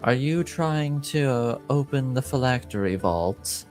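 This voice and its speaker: A man voicing a reserved, formal colleague in a fantasy tabletop game, measured, terse and deadpan. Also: medium pitch, faint music behind. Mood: guarded, questioning.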